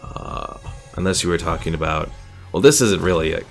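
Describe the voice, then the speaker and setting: A man's voice: low-pitched, normal speed, gravelly. A man livestreaming a strategy game, casually thinking aloud and chatting with his viewers as he plays. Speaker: livestreamer, host of a gaming stream. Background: game music.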